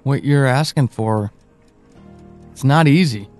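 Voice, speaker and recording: A man playing an evasive, uneasy friend in an audio drama, speaking in a halting, confessional way. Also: medium pitch, a little mellow, faint music behind, lightly acted. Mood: troubled, insistent. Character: evasive male friend, uneasy, withholding a troubling memory.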